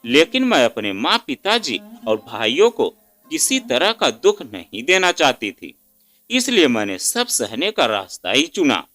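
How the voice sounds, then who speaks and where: A man's voice: medium pitch, wildly swooping pitch, measured pace, pushed and bright. A man telling an emotional moral story in the first person with a dramatic, sorrowful delivery. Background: faint instrumental music.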